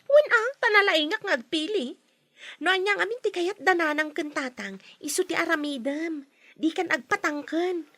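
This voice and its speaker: A bossy, snide young Filipino sister for radio drama. Her voice is very high and animated, with a bright edge. She taunts haughtily in a close, dry recording. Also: normal speed, dry recording. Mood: nagging. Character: snide, bossy sister guarding her brother from his girlfriend. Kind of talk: Ilocano radio drama acting.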